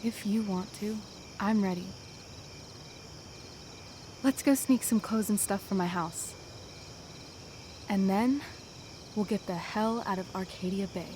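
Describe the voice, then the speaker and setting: A woman's voice: medium pitch, takes it slowly, long pauses, darkish tone, slight background noise, lightly acted. A woman acting a bold, impulsive teenage girl in a video game, dreamy and persuasive, in a naturalistic style. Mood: earnest, determined.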